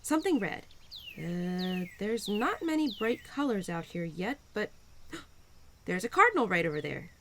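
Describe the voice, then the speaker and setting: A woman voicing a cheerful, playful young spirit of Spring in an audio roleplay, gentle and affectionate with a giggly, easily flustered manner. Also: mid-range voice, sing-song, measured pace, slight background noise. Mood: calm, playful. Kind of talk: audio roleplay addressed to the listener.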